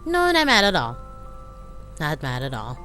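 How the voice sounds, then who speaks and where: A reader voicing a shy, hurt young woman in a game read-through, soft and halting, trailing off. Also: mid-range voice, big pitch swings, faint music behind, voice pushed up. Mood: hurt, quiet.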